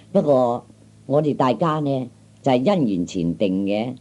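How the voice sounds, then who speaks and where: A man's voice: medium pitch, expressive, a little slow, dark tone. A man acting a glib, wheedling young man who is broke, with stammers and chuckles, in a Cantonese radio comedy from the 1960s. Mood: chatty, pleased.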